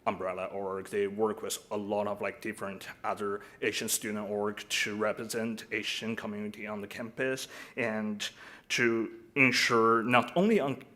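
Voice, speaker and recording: Man, low pitch, halting, filler-heavy, formal acceptance speech. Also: fairly even intonation, sharp tone. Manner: halting, many fillers and restarts. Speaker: student group representative. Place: city council chamber.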